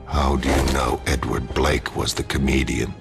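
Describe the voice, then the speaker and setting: A man voicing a menacing masked vigilante who talks in terse, clipped, deadpan phrases in a motion comic. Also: very deep voice, normal speed, vocal fry. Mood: cold menace. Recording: music behind the voice.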